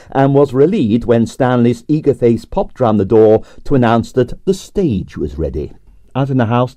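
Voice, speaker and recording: A man's voice: mid-range voice, warm tone, dry recording. A man reading a comic mystery novel aloud in scripted narration, with a dry, wry storytelling manner. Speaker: audiobook reader.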